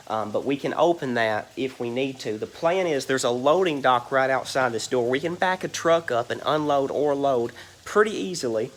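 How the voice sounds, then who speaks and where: Male reseller, casual vlog tour, nasal from allergies, meandering, middle pitch. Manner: rambling, many ums and "you guys". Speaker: reseller giving a warehouse tour. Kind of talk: casual walk-through vlog. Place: large indoor warehouse.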